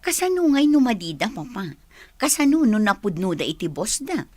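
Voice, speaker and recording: Husky, animated Ilocano daughter, played by a woman. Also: mid-range voice, moderate pace, no room sound. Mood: eager. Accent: Ilocano Filipino. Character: willful grown daughter of a restaurant owner. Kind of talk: Filipino radio drama acting.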